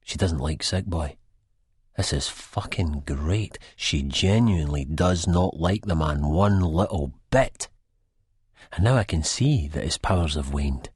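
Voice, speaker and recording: A man's voice: a bass voice, lively intonation, a little slow, darkish tone, breathy edge, dry recording, studio quality, heavily acted. A man with a Scottish accent playing a wry, reflective, disillusioned first-person narrator in an audiobook. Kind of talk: first-person literary narration, character acting.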